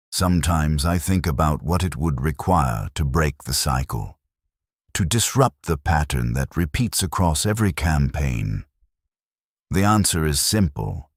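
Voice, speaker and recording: An extremely deep male narrator's take on a weary imperial bureaucrat, recorded close and dry. He delivers a grim first-person story in formal, measured sentences, resigned and fatalistic about his duty. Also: dry recording, exaggerated voice.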